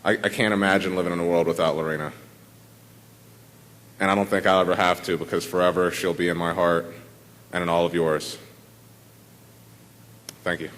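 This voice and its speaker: Low-voiced man in a reverberant hall. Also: level tone, moderate pace, long pauses, fairly bright tone. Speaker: speaker giving a tribute. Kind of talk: informal memorial tribute. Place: large room full of people at a memorial service.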